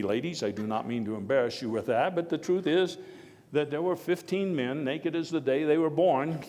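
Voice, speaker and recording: An actor playing a jovial, hearty former American president, giving a folksy speech in a halting, deliberate way. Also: male voice, on the high side, darkish tone, natural voice. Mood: amused storytelling. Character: Theodore Roosevelt, jovial, hearty former president telling anecdotes. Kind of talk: live historical dramatization speech to an audience. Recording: echoey hall with long reverb.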